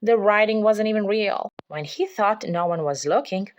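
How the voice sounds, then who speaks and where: A woman's voice: medium pitch, moderate pace, dull audio, noisy background. A woman reading a children's picture book aloud as a parent would, in scripted storybook narration.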